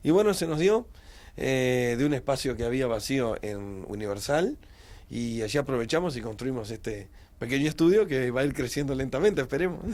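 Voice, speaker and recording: Fluent radio host. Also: male voice, mid-range voice, animated, fairly quick, a little mellow. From Uruguay. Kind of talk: interview answers, recounting career. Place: small radio studio.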